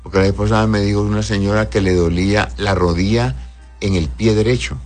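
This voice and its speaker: A man's voice: fairly low pitch, steady tone, fairly quick, darkish tone, faint music behind. A male doctor answering listeners' health questions in a patient, explanatory way, often checking "right?" and calling patients "my love".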